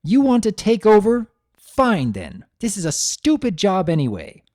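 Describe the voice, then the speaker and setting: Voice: mid-range voice, huge pitch swings, measured pace, dark tone, no echo, studio quality, pitched above the performer's own voice. A performer voicing an impatient, scornful mare and mother with a curt, strained delivery, in a dramatic fan fiction reading. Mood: angry, scornful.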